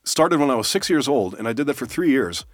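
A man's voice: mid-range voice, very animated, a little fast, no echo. A man co-hosting a podcast in casual chat, blunt and joking, on a studio mic. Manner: blunt, joking, some swearing. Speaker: podcast co-host.

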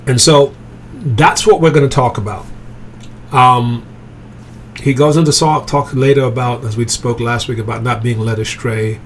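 A man's voice: medium pitch, steady tone, normal speed. A man who grew up in England leads an informal Bible teaching in a mid-range voice, speaking easily and often checking in with his listeners. A faint hiss sits under his voice. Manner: conversational, checks in with "okay?" and "right?".

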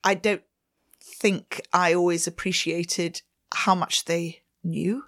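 Chatty Swedish woman, lowish voice. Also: steady tone, slow pace, fairly bright tone. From Sweden. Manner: chatty, laughs often. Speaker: ballet teacher. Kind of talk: interview answers.

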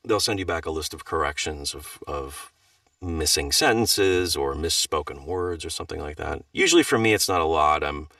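A middle-aged man, an audiobook narrator, chatting casually on a podcast in a relaxed, self-deprecating way that turns animated when he tells a story. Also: extremely low pitch, moderate pace, no room sound, clean studio sound, distant mic.

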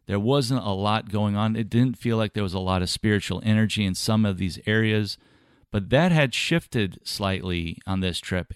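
A man hosting a podcast, talking earnestly and at a measured pace with some fillers, his voice slightly scratchy from a sore throat. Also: fairly low pitch, clean tone, dry recording, studio quality. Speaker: podcast host. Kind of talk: podcast monologue on ministry travels.

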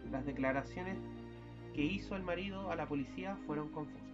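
A man's voice: fairly high pitch, brisk, close to an everyday voice. A young man plays a fussy husband in a student radio play, with clipped, weary lines and a cold, detached edge. The recording is dull and lo-fi, with music playing underneath. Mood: calm, detached. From Chile. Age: young adult. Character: fussy cold husband who grows sinister. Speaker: student radio drama actor. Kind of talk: amateur radio drama acting.

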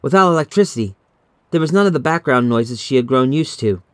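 A man reading a scripted horror story in a measured, dramatic, suspenseful way, as an audiobook narrator. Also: medium pitch, lively intonation, no room sound.

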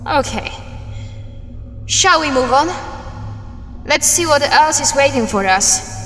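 Parody superheroine, played by a woman: fierce, sarcastic, very animated, heard in a reverberant hall. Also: high voice, slow pace, soft background music, full character voice. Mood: sardonic. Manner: bossy, sarcastic, brash. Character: fierce, sassy, scornful female superhero. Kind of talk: superhero parody audio drama.

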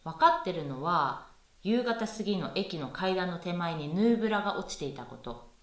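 A woman's voice: fairly low pitch, lively intonation, normal speed, natural voice. A woman playing a playful, pushy young female university student in a conversational radio drama. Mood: playful, insistent. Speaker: voice actress in amateur radio drama. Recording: echoey room, far from mic.

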